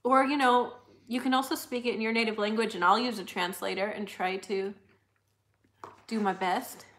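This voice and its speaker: A young woman with a high, slightly squeaky voice, hosting a casual livestream and chatting while reading out viewer comments, laughing often. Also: steady tone, normal speed, a bit bright. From United States. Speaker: livestream host.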